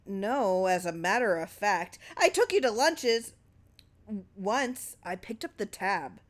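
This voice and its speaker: A woman's voice: mid-range voice, animated, slow. A woman voicing a nervous, put-upon male animator in a short story, plainly protesting to his boss.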